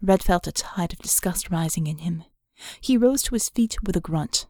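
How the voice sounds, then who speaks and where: A woman's voice: lowish voice, expressive, moderate pace, mellow, no echo. Woman narrating fiction, very dry sound. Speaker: audiobook narrator. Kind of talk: dramatic scripted narration.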